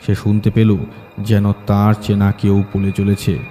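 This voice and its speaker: A man's voice: on the low side, monotone, unhurried, warm tone, faint music behind. A man reading a scripted horror audiobook as its story narrator.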